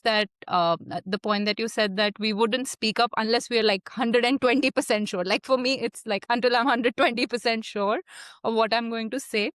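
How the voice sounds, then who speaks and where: Halting woman with a smooth, higher voice. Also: a bit bright, no room sound, studio quality. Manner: halting, many fillers, laughs often. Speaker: panel participant. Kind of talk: reflective personal account.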